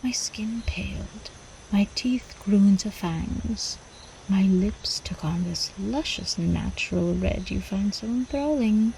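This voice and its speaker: A woman's voice: mid-range voice, slow pace, gravelly, mellow, slight background noise, low-quality recording. A woman playing a seductive, possessive vampire countess in an ASMR roleplay, elegant and teasing, often slipping toward a whisper.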